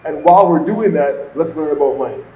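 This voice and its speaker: A man's voice: fairly high pitch, lively intonation, a little fast, warm tone. A man from Toronto with a Canadian accent, giving conversational, explanatory interview answers peppered with "you know". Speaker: guest, entrepreneur and former financial advisor. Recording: echoey room, background noise.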